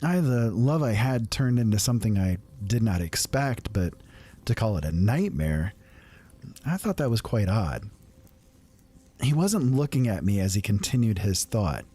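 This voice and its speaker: Low, mellow male storyteller. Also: slightly rough. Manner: calm, unhurried, conversational telling. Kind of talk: first-person fantasy story narration.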